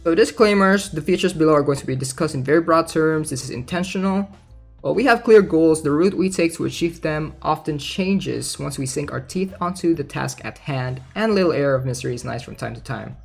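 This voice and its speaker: A man's voice: fairly high pitch, brisk, creaky, breathy edge. A man giving casual commentary as he reads out game news, informal and thinking aloud. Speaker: gaming content creator. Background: background music.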